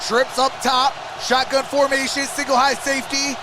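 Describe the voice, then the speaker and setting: A man's voice: extremely high pitch, monotone, normal speed, noisy background. A man hosting a sports livestream, giving live play-by-play and partisan fan reaction while talking to his viewers.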